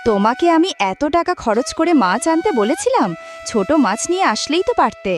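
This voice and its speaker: A woman's voice: high voice, very animated, brisk, soft background music. A woman voicing an affectionate, chatty young village wife in a cartoon folk tale. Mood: mildly scolding, fond. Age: young adult.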